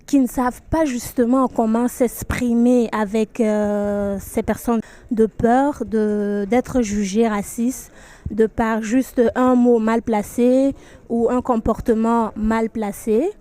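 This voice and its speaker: A woman from Burkina Faso, a pageant candidate, giving earnest, measured interview answers. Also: a little high, fairly even intonation, dark tone.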